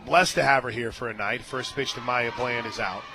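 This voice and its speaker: Man, radio sports announcer, softball play-by-play, conversational asides, stadium booth, clear music in background. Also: medium pitch, steady tone, moderate pace, poor audio. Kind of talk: live softball commentary mixed with casual chat.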